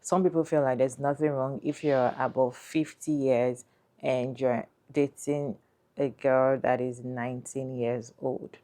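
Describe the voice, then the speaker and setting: A woman with a strikingly deep voice and a dark, mellow tone hosts a commentary channel. She talks to her viewers deliberately and keeps asking what they think. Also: fairly even intonation. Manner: deliberate, addresses viewers with questions. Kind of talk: reaction commentary.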